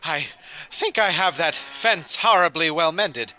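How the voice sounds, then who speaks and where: A man's voice: a little high, lively intonation, measured pace, music in the background, pitched above the performer's own voice, rough recording. A man playing a pompous, fussy old-time schoolmaster in an audio drama, with stammering, formal, old-fashioned speech. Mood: eager, flustered. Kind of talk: vintage radio-style audio drama acting.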